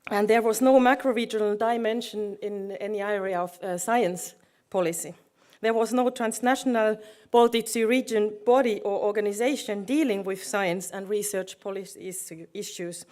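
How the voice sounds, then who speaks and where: A middle-aged woman presents at a conference as a project manager. Her voice sits at an average pitch, and her delivery is halting, with frequent searching for the right word. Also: steady tone, darkish tone. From Finland.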